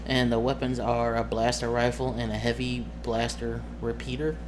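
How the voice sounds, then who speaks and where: A man's voice: medium pitch, little pitch movement, normal speed. A man talking casually and off the cuff as a game master, rambling and often searching for words. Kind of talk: casual off-the-cuff vlog talk. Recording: noisy room ambience, amateur recording.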